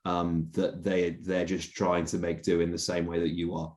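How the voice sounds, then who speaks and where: Low, dark-voiced young man, halting. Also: monotone. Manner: halting, many restarts and fillers, earnest. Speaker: journalist, interview guest. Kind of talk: casual podcast conversation.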